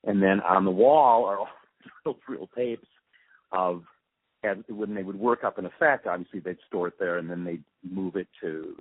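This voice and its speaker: A man with a low, rough-edged voice reminisces as an interviewee. He tells it emphatically, like a storyteller. The line is thin and phone-like. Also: normal speed, no room sound. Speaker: interviewee, radio drama post-production supervisor. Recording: muffled phone line, dry.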